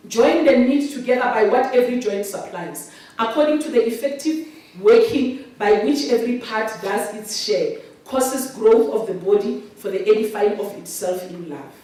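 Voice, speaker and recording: A South African woman pastor preaching a sermon in an animated, sing-song way. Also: a little high. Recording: very echoey, distant mic.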